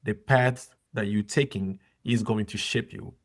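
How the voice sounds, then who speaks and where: Podcast guest, middle-aged man, low gravelly voice, earnest and measured. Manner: earnest, measured advice-giving. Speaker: podcast guest, former soccer player and coach. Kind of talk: interview conversation.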